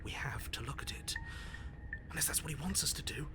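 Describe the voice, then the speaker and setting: Man acting a breathy, paranoid voice in the head. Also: on the high side, a little fast, in a whisper, lightly acted. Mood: suspicious, frightened. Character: paranoid, fearful male voice inside hero's head. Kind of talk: visual novel character acting. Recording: music behind the voice.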